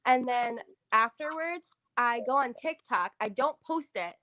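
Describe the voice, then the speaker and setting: Bright-voiced woman chatting on a video call. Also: fairly high pitch, measured pace, slight background noise. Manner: animated, rambling, full of "like" and "so". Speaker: guest on a paranormal stream. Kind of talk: casual chat. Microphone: phone on a video call.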